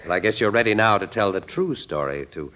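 Low-voiced, shrewd young sleuth. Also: male voice, lively intonation, bright, forceful tone. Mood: accusing, assured. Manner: hesitant, wry, deliberate probing. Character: young male crime-solving newspaperman, shrewd and probing. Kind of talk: old-time radio drama acting.

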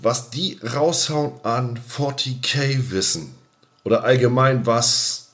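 A man's voice: mid-range voice, slow, fairly bright tone. A man co-hosting a casual podcast, talking colloquially and emphatically and often repeating his phrases. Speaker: podcast co-host.

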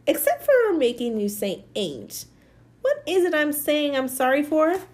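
Storybook woman playing a uneasy boy: hesitant, reluctant, fairly high, faltering delivery. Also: very animated, unhurried, darkish tone. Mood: sheepish.

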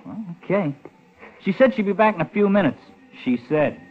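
A man's voice: high voice, animated, normal speed. An actor playing a chatty, cocky young night elevator boy with rambling, eager hepcat slang, in 1940s radio drama style. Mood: breezy, confiding. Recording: tinny, hissy old-time radio recording.

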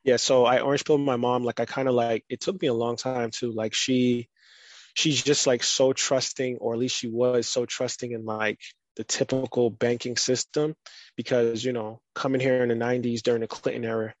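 A man in a casual podcast conversation, talking in a relaxed, rambling way with lots of fillers. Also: medium pitch, moderate pace, dry recording. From United States. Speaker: guest.

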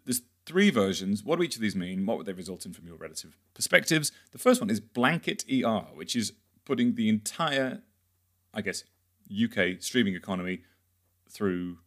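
A man hosting a podcast interview in a conversational, halting way, rephrasing as he goes. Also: low-pitched, fairly bright tone. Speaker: podcast host.

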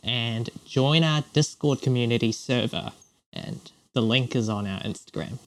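A man's voice: medium pitch, a little slow, poor audio. Wry young man from Hong Kong. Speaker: podcast co-host. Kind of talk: casual chat.

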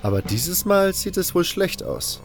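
Casting: narrator doing a sarcastic, rough-spoken fantasy camp boss, raised pitch, over faint music. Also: male voice, big pitch swings, a little slow, heavily acted. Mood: worried. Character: sardonic, gruff camp organiser, a man, dryly complaining. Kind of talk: fantasy audiobook character dialogue.

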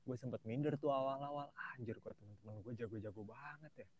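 A teenage man with a Jakarta Indonesian accent and a dark, relaxed voice at a middle pitch. He talks casually and slangily as a podcast guest, modest about himself. The recording is slightly muffled and lo-fi. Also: fast talker. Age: teens. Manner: slangy, self-deprecating, chuckles often. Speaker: podcast guest, music student. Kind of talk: casual chat. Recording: dull, muffled lo-fi audio.

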